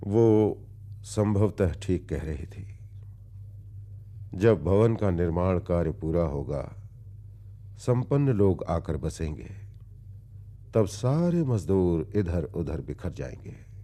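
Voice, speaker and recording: A world-weary philosophical man in a radio play, recounting his past. His voice is low and dark, and he moves slowly and deliberately through formal phrases, pausing long, with very animated pitch swings. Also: full character voice. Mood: reflective, resigned. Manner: brooding, deliberate, with long pauses. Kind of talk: dramatic radio-play storytelling in formal literary diction.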